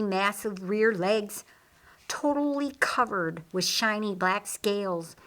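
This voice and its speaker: A woman reading a fiction audiobook as narrator, in a deliberate, measured manner. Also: mid-range voice, a bit bright. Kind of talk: scripted narration of a fiction story.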